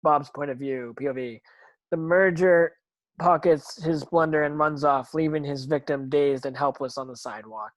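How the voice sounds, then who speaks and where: A man's voice: on the high side, normal speed, low-quality recording. A man reading stage directions as narrator in an amateur table read, occasionally tripping over words and rereading them.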